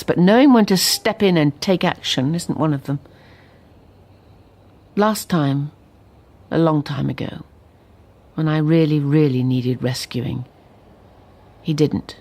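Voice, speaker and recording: An actress playing a fragile, haunted middle-aged wife confiding in a breathy, husky voice, in a radio drama. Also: female voice, low voice, takes it slowly, pauses often, darkish tone, exaggerated voice. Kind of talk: intimate radio drama monologue.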